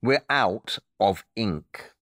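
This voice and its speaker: A precise, animated pedantic detective. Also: male voice, on the low side, drawn-out words, dry recording, clean studio sound, full character voice. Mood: dry, preoccupied. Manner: precise, didactic, emphatic. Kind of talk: scripted audio drama dialogue.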